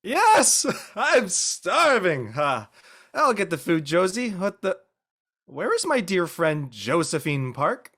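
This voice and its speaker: A goofy, laid-back teenage boy athlete voiced by an actor in an audio drama. The pitch swings widely as he jokes in loose slang. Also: big pitch swings, slow pace, fairly bright tone, exaggerated voice. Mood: goofy, excited. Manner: slangy, joking. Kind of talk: online dramatic table read.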